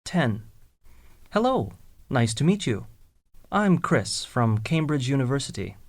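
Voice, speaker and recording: Male textbook narrator, clear diction, dry close studio sound. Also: mid-range voice, unhurried, no room sound. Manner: even, clearly enunciated. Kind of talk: scripted textbook listening exercise reading.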